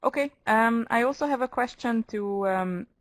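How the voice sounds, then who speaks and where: A woman's voice: fairly high pitch, normal speed, dry recording. A woman moderating a webinar panel, politely and a little apologetically asking the panelists questions over a video call.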